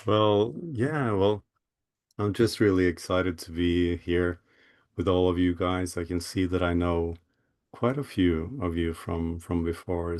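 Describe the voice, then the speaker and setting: A man from Norway speaking as a guest on a video call, eager and warm in his words, often restarting and repeating words. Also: deep voice. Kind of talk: casual talk on a video call. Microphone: computer mic on a video call.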